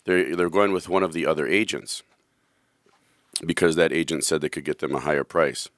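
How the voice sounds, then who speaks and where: A hoarse male radio host, telling a rambling, self-deprecating story in casual studio talk. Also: a bass voice, fairly quick, a bit bright, dry recording. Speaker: radio show host, real estate agent.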